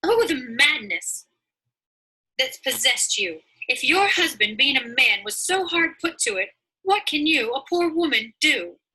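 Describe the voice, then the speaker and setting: Woman acting out Timorous, a shrill scolding neighbor. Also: very animated, unhurried, bright, forceful tone, husky, exaggerated voice, rough recording. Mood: alarmed scorn. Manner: exclaiming, scolding, emphatic. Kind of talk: storybook character voice.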